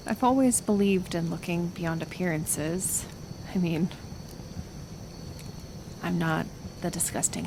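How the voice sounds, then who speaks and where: A woman playing a kind, stubborn healer of the woods in an intimate roleplay audio, speaking softly and hesitantly, with soothing pauses. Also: on the low side, creaky, noisy background. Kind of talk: roleplay audio acting, intimate one-sided dialogue.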